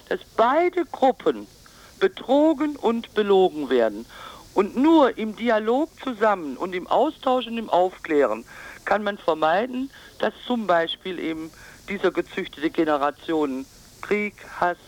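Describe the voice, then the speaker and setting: A woman giving a political radio interview as an advocate, emphatic and insistent, sometimes halting. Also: mid-range voice, poor audio. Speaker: pensioners' advocacy group board member, interviewee.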